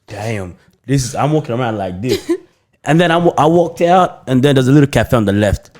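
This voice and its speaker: Male co-host, mid pitch, lively, expressive storyteller. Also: brisk. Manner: animated storyteller, says bro a lot. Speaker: podcast co-host. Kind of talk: casual storytelling chat.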